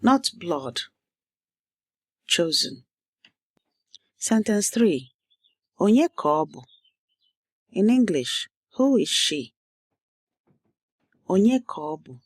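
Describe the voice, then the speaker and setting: A woman hosting a language lesson, telling a story in short, measured fragments and then repeating phrases for learners to copy. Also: medium pitch, very animated, no echo, clean studio sound. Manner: clipped, measured fragments with deliberate pauses. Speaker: language teacher and host. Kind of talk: scripted story narration and language drill.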